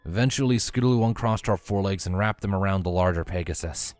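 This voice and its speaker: Male narrator, deep register, scripted storytelling, very dry close sound with music underneath. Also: fast talker, a little mellow, no room sound. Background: background music.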